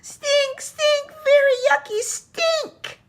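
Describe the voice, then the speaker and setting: A woman voicing a whiny little brother in a children's picture book, exclaiming in drawn-out, repeated yelps. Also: very high voice, steady tone, voice pushed up, exaggerated voice. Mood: disgusted, yelling. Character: whiny, squealing little brother, a small boy.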